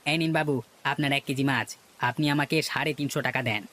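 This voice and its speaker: A man's voice: mid-range voice, steady tone, brisk, sharp tone, lightly acted. A man voicing a deferential market stall helper in a cartoon, hawking fish and meat politely. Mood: polite selling. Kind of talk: Bengali cartoon voice acting.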